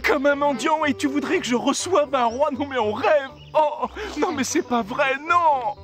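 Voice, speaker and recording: A man's voice: very high-pitched, unhurried, a bit bright. An actor playing an anxious, fretful poor young man in a French cartoon. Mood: panicked. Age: young adult. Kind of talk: French dubbed cartoon acting. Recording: music behind the voice.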